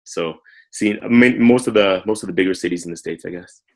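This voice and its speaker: A Canadian man in his thirties, a guest telling his story in a relaxed way, with many ums. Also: low voice, a little fast. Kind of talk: casual interview.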